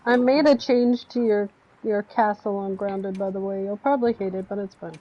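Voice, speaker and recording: A woman's voice: mid-range voice, fairly even intonation, moderate pace, slight background noise. A woman chatting casually and grumbling sarcastically while playing a video game with her brother. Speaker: gaming streamer. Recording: dull lo-fi stream audio.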